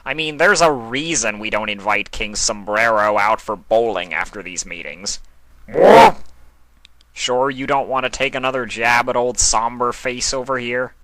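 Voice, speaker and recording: A man's voice: medium pitch, a little slow, sharp tone, poor audio. A man voicing a sarcastic, flippant god of chaos in a mocking, theatrical way for a story reading.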